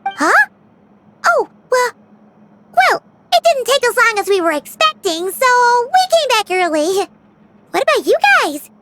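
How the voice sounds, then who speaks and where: A woman voicing a tiny, chatty, childlike fairy companion with a squeaky voice in a video game. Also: big pitch swings, a little slow, pauses often, background noise, full character voice. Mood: flustered, chipper.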